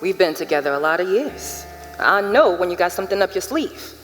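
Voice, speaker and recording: An actress playing a wary, loyal enslaved wife and house servant in a stage drama, firm and probing. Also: female voice, fairly low pitch, expressive, brisk, natural voice. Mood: probing, knowing. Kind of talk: theatrical stage drama acting. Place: large indoor theater stage. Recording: reverberant theater with music underneath.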